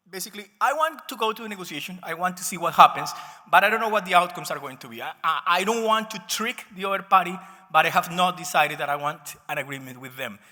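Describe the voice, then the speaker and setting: A man's voice: sits high, pushed and bright. A man with a Colombian accent, a native Spanish speaker, giving an explanatory academic presentation and often correcting himself. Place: conference session room, speaking to an audience. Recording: reverberant conference hall.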